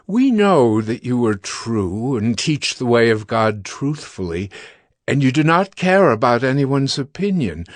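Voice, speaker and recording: A slow, flattering Pharisee envoy. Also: male voice, mid-range voice, no room sound.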